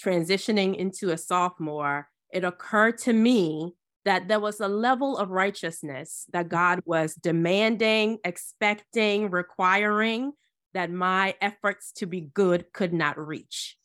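A woman from Ghana, a Bible teacher, telling her story in an earnest, measured way in an interview. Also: mid-range voice, fairly bright tone, no echo, studio quality. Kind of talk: interview, personal testimony.

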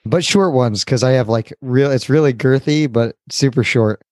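A man's voice: mid-range voice, normal speed, a little mellow, no room sound, clean studio sound. A man, a comedian guest on a podcast, making crude jokes in casual banter.

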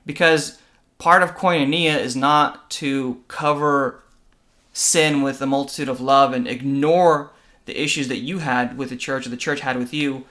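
A man's voice: mid-range voice, steady tone, measured pace, bright, forceful tone. A man co-hosting a podcast, explaining faith and church tradition in an earnest conversational way, often checking in with "right?". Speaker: podcast co-host.

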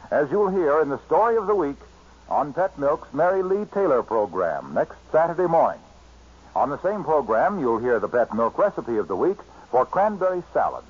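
A man's voice: a little high, husky. A man with an American accent reading scripted 1940s radio advertising as a polished, emphatic announcer. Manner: polished, emphatic sales delivery. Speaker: radio announcer, sponsor pitchman. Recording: dull vintage radio recording.

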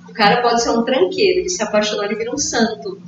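A woman's voice: fairly high pitch, fast. A Brazilian woman speaking as a literature expert in a casual book discussion, explaining things with colloquial asides. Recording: echoey, distant, dull, noisy room recording.

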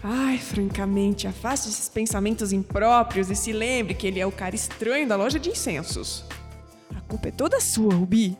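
An actress playing a young, proud, skeptical woman in an audio drama, with a Brazilian accent and an expressive delivery that turns hesitant when flustered. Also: mid-range voice, normal speed, over music, natural voice. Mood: embarrassed, self-scolding. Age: young adult.

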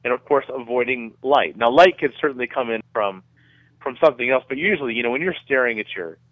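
A middle-aged man giving measured, conversational explanations as a guest in a radio interview, often repeating words as he goes. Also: mid-range voice, dry recording, narrow, tinny audio. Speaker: guest researcher being interviewed.

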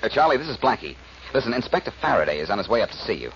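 An actor playing a cool-headed, wisecracking amateur sleuth on the run in a 1940s radio drama, glib and sardonic, on a muffled old recording. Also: male voice, on the low side, very animated, fairly bright tone, a little hiss or hum. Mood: cool, urgent. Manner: glib, sardonic, quick with quips.

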